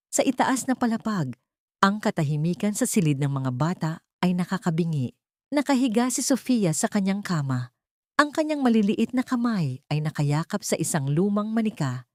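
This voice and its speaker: Female audiobook narrator for a romantic drama, lowish pitch, very dry sound. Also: darkish tone, no echo. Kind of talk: dramatic scripted story narration.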